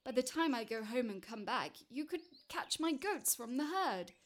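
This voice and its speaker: A woman voicing a tiny, timid but crafty male farmer in a storybook tale, with a high trembling voice and hesitant, stammering pleas. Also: expressive, moderate pace. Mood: nervous, pleading.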